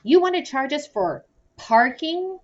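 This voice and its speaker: A woman's voice: high-pitched, very animated, measured pace, far from the mic, muffled recording, voice pushed up. A woman voicing an indignant, protective man with a blunt, sarcastic manner in a children's novel read-aloud. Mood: outraged.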